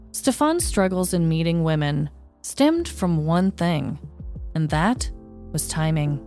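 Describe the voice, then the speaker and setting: A young adult woman co-hosting a podcast, chatting casually and telling a story, animated with quick laughing asides. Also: on the low side. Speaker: podcast co-host. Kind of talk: casual chat and scripted storytelling.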